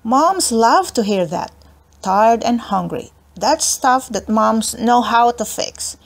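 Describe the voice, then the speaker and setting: Creaky, higher-pitched woman reading a children's story. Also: lively intonation, slow pace. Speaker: audiobook narrator. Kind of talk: expressive children's story read-aloud.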